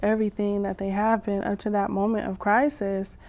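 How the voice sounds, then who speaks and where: A woman poet and vocalist performing spoken-word poetry with drawn-out phrases, pauses and hums, some lines half sung. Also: medium pitch, flat delivery, a little mellow. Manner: slow, drawn-out delivery with long pauses and hums. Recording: dull lo-fi sound.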